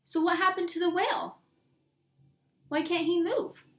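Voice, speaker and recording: A woman reading a picture book aloud to young children in an expressive, sing-song way, pausing to put simple questions to her listeners. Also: very high voice, roomy sound.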